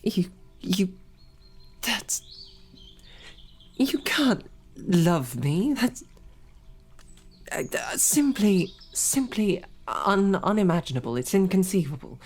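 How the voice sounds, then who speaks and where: A woman playing a snarky, devoted female knight, stammering and flustered, often dropping to soft whispers. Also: medium pitch, very animated, slow, long pauses, slightly rough. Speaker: audio drama performer. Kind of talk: romantic audio roleplay.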